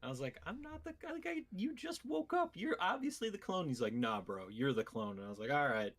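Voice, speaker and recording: A man's voice: a little high, big pitch swings, fairly quick, fairly bright tone. A man co-hosting a podcast, trading casual banter about video games with wry, teasing asides. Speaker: podcast co-host.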